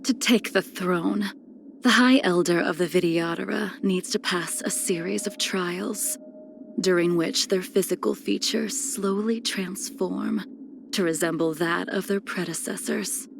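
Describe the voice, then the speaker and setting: A woman playing a cold, ageless, melancholy swordswoman in a video game, speaking in formal, solemn phrases that trail into breathy near-whispers. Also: lowish voice, unhurried, slight background noise. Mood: grave. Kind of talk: scripted video game character lines.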